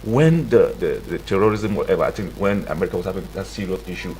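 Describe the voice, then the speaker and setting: A Nigerian man with a deep voice talks quickly as a radio interview guest. He is emphatic and repeats his points, with faint noise behind him. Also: expressive, a little mellow, low-quality recording. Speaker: studio guest, tech entrepreneur and CEO.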